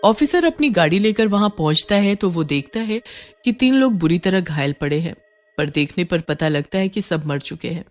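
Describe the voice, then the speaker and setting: A woman's voice: lowish voice, lively intonation, normal speed. Female horror story narrator over music.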